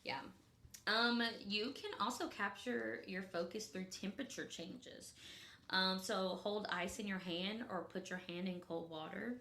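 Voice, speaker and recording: A woman's voice: mid-range voice, unhurried, pushed and bright, echoey room. A woman with a Memphis, Tennessee accent, chatting playfully as podcast co-host, full of "like" and "um". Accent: Southern US (Memphis). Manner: chatty, playful, many "like" and "um" fillers. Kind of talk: casual chat.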